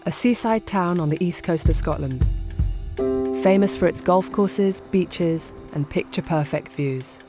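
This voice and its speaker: A woman in her forties who grew up in Iran, presenting a documentary in calm, reflective first-person narration. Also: on the low side, measured pace. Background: music under the narration.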